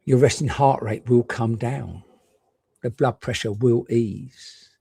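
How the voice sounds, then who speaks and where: A man gently and reassuringly guiding a meditation, leaving unhurried pauses between short phrases. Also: mid-range voice, vocal fry, breathy edge, no echo. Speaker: meditation guide. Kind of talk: guided meditation.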